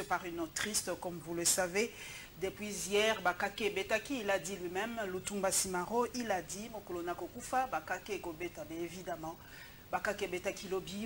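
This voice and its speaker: A woman's voice: medium pitch, a bit bright, a little hiss or hum. A woman hosting a TV news show and pressing her guest with pointed questions. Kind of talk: TV studio interview and news presentation.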